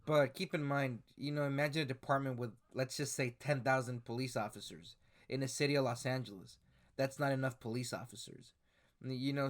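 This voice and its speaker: Hesitant man in his twenties. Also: medium pitch. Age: 20s. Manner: hesitant, many "uh" fillers and restarts. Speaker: commentary YouTuber. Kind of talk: casual commentary reading screenshots.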